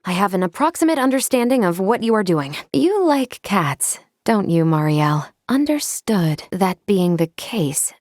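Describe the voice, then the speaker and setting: An actress playing a calm, analytical android companion in a video game, gently caring, with formal, precise diction. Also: female voice, mid-range voice, normal speed, hardly any pauses, no echo, clean studio sound, lightly acted. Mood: composed, matter-of-fact. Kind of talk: video game character dialogue.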